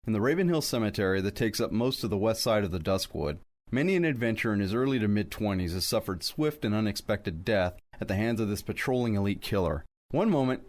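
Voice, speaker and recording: A man on a podcast narrating scripted fantasy lore in an articulate, wry storyteller's manner. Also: on the low side. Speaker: podcast host.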